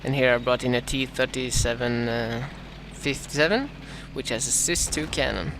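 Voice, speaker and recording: A man from Sweden with a Swedish accent and a dry throat, casting his own strategy game match in a halting way, full of "uh"s and self-corrections. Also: mid-range voice, background noise. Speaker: gameplay commentator.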